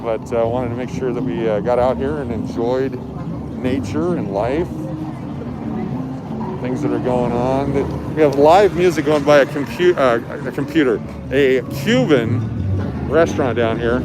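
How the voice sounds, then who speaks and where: A man's voice: mid-range voice, measured pace, low-quality recording. A man hosting a casual walking livestream outdoors on busy streets, talking into a handheld camera as he goes. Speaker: livestream host. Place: outdoors on city sidewalks at night, by traffic. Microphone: handheld camera or phone while walking. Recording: street traffic and live music behind.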